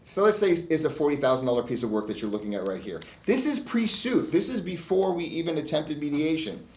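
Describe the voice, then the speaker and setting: A man's voice: a little high, sing-song, brisk, darkish tone. An American man, a lawyer presenting his own segment in an emphatic, defiant, informal monologue with frequent stops and repeats. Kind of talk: informal monologue to camera, reading out e-mails. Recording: echoey room.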